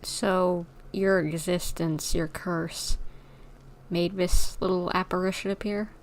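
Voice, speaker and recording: A woman's voice: lowish voice, steady tone, takes it slowly. A woman streaming a video game, commenting casually and thinking aloud in an informal, conversational way. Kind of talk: casual livestream commentary and dialogue read-along.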